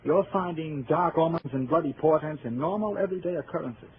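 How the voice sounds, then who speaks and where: An actor playing a brisk, patronizing male doctor, insistent and persuasive, in old-time radio drama style. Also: on the high side, lightly acted. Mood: chiding. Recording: tinny, hissy old radio broadcast.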